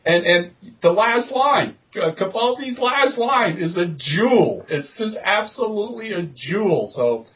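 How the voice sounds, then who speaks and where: A man's voice: high-pitched, expressive, measured pace, few pauses. A man hosting a podcast in casual, emphatic chat, gushing and repeating himself for stress. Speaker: podcast host. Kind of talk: casual enthusiastic chat about TV. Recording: distant mic, dull lo-fi sound.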